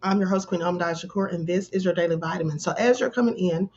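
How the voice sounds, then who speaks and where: A woman hosting a casual livestream, a little hoarse from a sore throat, greeting viewers in the chat by name. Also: fairly low pitch, fast talker, darkish tone, lo-fi sound. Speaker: livestream host.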